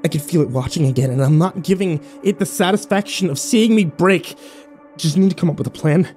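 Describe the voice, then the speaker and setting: A man's voice: fairly high pitch, a little fast, a little mellow, soft background music, natural voice. An actor playing a battered, bitter young man who narrates his nightmare in terse, sardonic, profane fragments for a horror audio drama. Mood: desperate resolve.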